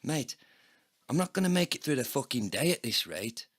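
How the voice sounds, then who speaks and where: A man's voice: mid-range voice, sing-song, normal speed, voice pushed up. A man playing a bitter, despairing, foul-mouthed drinker in a comedy audio play, ranting and exasperated.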